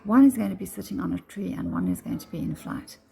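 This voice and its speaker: A woman with a slightly croaky voice giving a casual step-by-step painting tutorial, thinking aloud in a halting way. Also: medium pitch, mellow. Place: indoors, home painting room.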